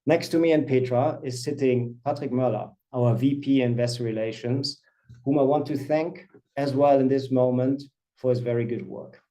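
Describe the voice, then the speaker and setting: A man in his fifties, a company CFO, presenting quarterly results on an earnings call in a measured, formal way. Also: medium pitch, warm tone, poor audio. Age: 50s. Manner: measured, formal reading of financial figures.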